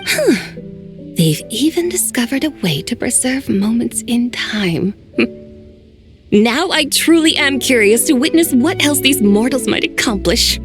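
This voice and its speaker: A woman's voice: a little high, lively intonation, a little slow, music in the background. An actress voicing an ancient, aloof female mountain spirit who talks in formal, old-fashioned phrasing with dry teasing. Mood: curious. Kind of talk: video game voice acting.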